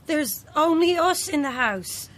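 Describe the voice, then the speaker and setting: A woman's voice: sits high, animated, takes it slowly, no room sound. A woman playing an evasive, motherly nurse in an audio horror drama, placating and controlled. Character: calm, evasive motherly nurse leading a spiritualist group.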